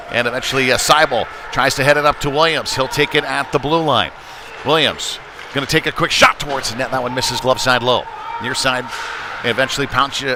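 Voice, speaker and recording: A man giving live play-by-play of an amateur hockey game in an informal, folksy, conversational way. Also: mid-range voice. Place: indoor ice rink during a live game. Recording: noisy rink ambience behind voice. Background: clear rink and game noise.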